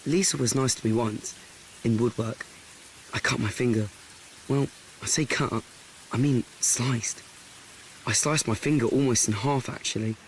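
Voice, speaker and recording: Awkward, anxious schoolboy played by a man, mid pitch, rambling confessional radio drama narration, self-mocking, some background noise. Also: steady tone, unhurried, pauses often, natural voice, low-quality recording. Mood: rueful confiding. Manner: rambling, repetitive, self-correcting. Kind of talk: confessional radio play monologue.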